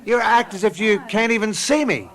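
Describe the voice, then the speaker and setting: An actor playing a brash, irritable advertising copywriter in a radio drama, blunt and insistent. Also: male voice, sits high, expressive, moderate pace. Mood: breezy, then puzzled.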